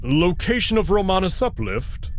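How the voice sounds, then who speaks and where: A man voicing an impatient, skeptical alien commander in a sci-fi visual novel, giving terse, deliberate commands. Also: sits high, big pitch swings, faint music behind, pitched above the performer's own voice. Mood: impatient, commanding.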